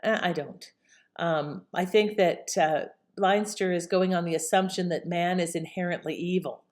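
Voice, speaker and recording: A woman's voice: on the low side, steady tone, normal speed, a little mellow. A woman host giving casual, reflective opinion commentary in a conversational way.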